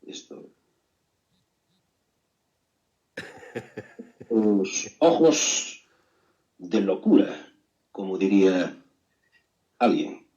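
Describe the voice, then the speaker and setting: A man voicing a flamboyant old male painter, talking with slow, dramatic pauses and grand boasts. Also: low-pitched, expressive, warm tone, lo-fi sound, voice pushed down, exaggerated voice. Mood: grandiose. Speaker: radio contributor.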